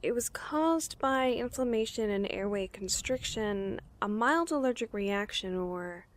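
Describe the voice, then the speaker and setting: An actress plays a young female medic in a survivor-camp audio drama. She has a medium-pitched voice and talks casually, a little hesitant but considerate and reassuring. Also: expressive, measured pace, lightly acted. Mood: matter-of-fact, reassuring. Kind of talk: amateur audio drama acting.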